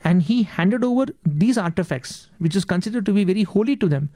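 A man's voice: high-pitched, expressive, fairly quick, warm tone, dry recording, studio quality. A man with an Indian accent, a native Tamil speaker, giving earnest interview answers and often saying "yes" and "ma'am". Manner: earnest, repeats words, addresses host as ma'am. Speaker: guest.

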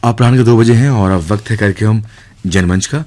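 Low-pitched male radio announcer, formal and measured. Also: darkish tone.

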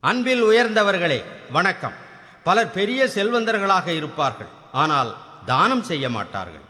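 Man playing male ceremony announcer: high, forceful, declamatory, formal, in long hall reverb. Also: big pitch swings, normal speed, heavily acted. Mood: ceremonious. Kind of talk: formal stage oratory.

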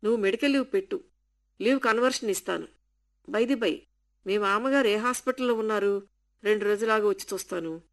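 A woman reading the part of a male office manager who phones to apologize softly. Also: high voice, fairly quick, pauses often. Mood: apologetic.

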